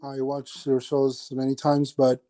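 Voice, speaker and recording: A man's voice: medium pitch, monotone, measured pace, dark tone. A man from Honduras calling into a livestream, earnest and hesitant as he talks. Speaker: caller. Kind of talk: casual chat on a live call.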